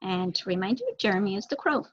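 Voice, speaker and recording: A young adult woman reading a children's book aloud as an expressive narrator. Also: medium pitch, fast, highs cut off, a little hiss or hum. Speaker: story reader.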